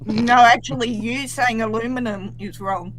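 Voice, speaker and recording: A woman on a live trivia stream, trading teasing, sharp-tongued banter with the panel over a video call. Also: a little high, fairly even intonation, normal speed, a little hiss or hum, poor audio. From Australia.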